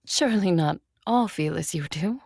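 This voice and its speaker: A woman playing an ancient, imperious and guarded vampire ruler in an audio drama, speaking in a measured, wry way. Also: on the low side, expressive, vocal fry, a little mellow, husky, no room sound, clean studio sound, full character voice. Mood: hesitant, wry. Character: imperious two-thousand-year-old female vampire ruler, wry, guarded. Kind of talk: audio drama acting, first-person narration and dialogue.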